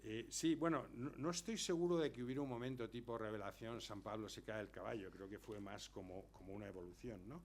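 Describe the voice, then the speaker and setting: An older man, the author of a book, answering audience questions in a relaxed, anecdotal way, often tagging his sentences with a quick question. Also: lowish voice. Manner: chatty anecdotal storyteller, lots of tag questions. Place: lecture hall before an audience.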